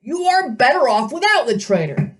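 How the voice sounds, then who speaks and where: A woman's voice: high-pitched, very animated, moderate pace, distant mic, pitched above the performer's own voice. A woman voicing a very old, fat male satyr, pompous and indignant, blustering and stammering as she reads a storybook aloud. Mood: huffy, dismissive. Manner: huffy, blustering, stammering when flustered.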